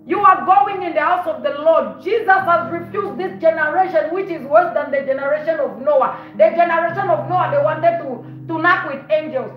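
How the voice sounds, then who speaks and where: A woman's voice: extremely high pitch, moderate pace, few pauses, faint music behind. A woman in her forties preaching a fiery sermon in her living room, repeating words and moving about, recorded on a phone a short way off. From Zambia. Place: living room of a house, indoors. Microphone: phone camera on a stand at a short distance. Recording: roomy, dull lo-fi phone audio.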